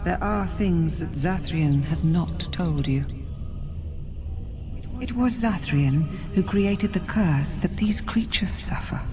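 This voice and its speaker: A woman voicing an ancient forest spirit in a fantasy game, serene and commanding, with measured, formal, soothing speech. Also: on the low side. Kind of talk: fantasy video game acting.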